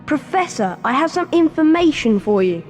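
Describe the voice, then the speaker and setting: Schoolboy wizard, high lively voice, earnest, urgent, video game, music behind. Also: female voice, normal speed. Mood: determined. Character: earnest, determined schoolboy wizard, about twelve.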